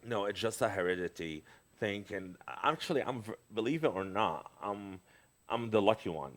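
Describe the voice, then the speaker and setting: A man's voice: lowish voice, moderate pace. A middle-aged man from Lebanon, talking in a casual conversation as a guest, hesitant, with many fillers and restarts.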